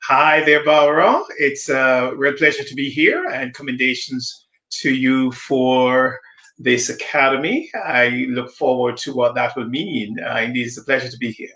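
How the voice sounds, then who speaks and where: A man's voice: mid-range voice, pushed and bright, roomy sound, poor audio. A man from Jamaica, a guest on a live-stream discussion, speaking thoughtfully with frequent restarts over a video call. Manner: thoughtful, halting with many restarts. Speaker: diversity consultant guest.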